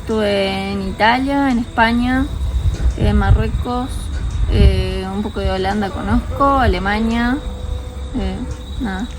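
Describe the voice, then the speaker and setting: A woman's voice: medium pitch, normal speed, noisy background. A thirty-year-old woman from Argentina with an Argentine accent, answering in a thoughtful, hesitant way. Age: 30s. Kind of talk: casual interview answers.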